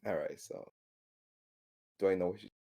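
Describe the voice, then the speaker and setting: A man playing a tabletop game, chatting casually and haltingly, often repeating his words as he works out what to say. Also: low-pitched, dark tone, slightly rough.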